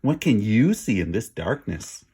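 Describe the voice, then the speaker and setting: A man's voice: on the low side, sing-song, unhurried, dark tone, slight background noise. A man voicing a mocking, boastful and greedy prehistoric human in a storybook read-aloud, stretching words for emphasis.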